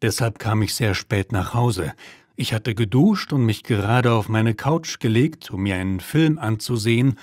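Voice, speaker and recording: A man playing a tired but sociable thirty-year-old office worker, telling his story clearly in a scripted language-lesson dialogue. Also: fairly low pitch, lively intonation, moderate pace, a little mellow, no room sound, clean studio sound, close to an everyday voice. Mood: recounting.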